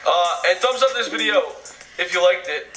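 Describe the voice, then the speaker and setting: A young man joking around in a casual vlog outro, lively and playful. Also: high-pitched, moderate pace. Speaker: YouTuber. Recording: roomy, distant, music behind.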